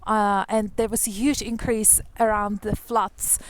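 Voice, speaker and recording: A woman calmly recounting a personal story in a documentary. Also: mid-range voice, a little slow, a little hiss or hum. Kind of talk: documentary testimony.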